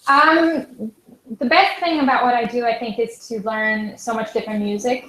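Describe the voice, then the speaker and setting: A woman's voice: on the high side, steady tone, normal speed, fairly bright tone, reverberant, low-quality recording. A woman from Switzerland with a Swiss German accent, a guest pianist speaking hesitantly with many fillers in a live online interview.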